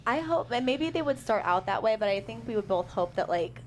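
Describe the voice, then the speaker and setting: A woman's voice: a little high, animated, a little fast, a little hiss or hum. A woman screenwriter talking about her script in a casual panel discussion, chatty with many fillers and restarts. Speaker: screenwriter guest.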